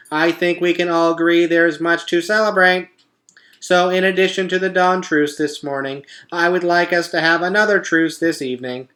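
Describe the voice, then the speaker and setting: A man's voice: on the high side, sharp tone, pitched above the performer's own voice. A man voicing a cheerful, polite female robot, speaking in a perky, formal announcing way. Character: cheerful, polite female robot addressing her animal friends.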